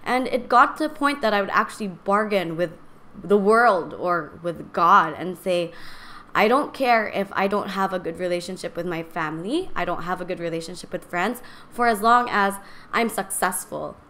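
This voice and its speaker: A woman in her twenties hosting a podcast, talking casually and candidly, her strained voice raspy and a little shaky. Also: on the low side, moderate pace, sharp tone. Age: 20s. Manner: candid, rambling, chatty. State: strained voice from singing and talking too much. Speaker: podcast host. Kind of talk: casual personal talk to live stream viewers.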